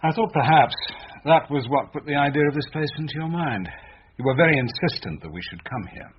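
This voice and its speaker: Stern, animated husband, played by an actor. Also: male voice, fairly high pitch, a little fast, close to an everyday voice. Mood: suspicious. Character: suspicious, restrained English husband, quietly reproachful. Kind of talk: radio drama acting. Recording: muffled, noisy radio drama recording.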